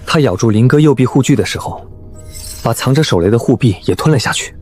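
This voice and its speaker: A man playing a mild, respectful junior teammate who explains things politely in an animated drama. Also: medium pitch, quick pace, husky, soft background music. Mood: curious, explaining.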